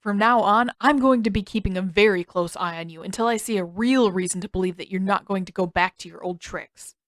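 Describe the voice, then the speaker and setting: A woman's voice: mid-range voice, fairly quick, no room sound, studio quality, natural voice. A woman voicing an indignant, stubborn teenage boy in an audiobook story, accusing and exclamatory. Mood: suspicious.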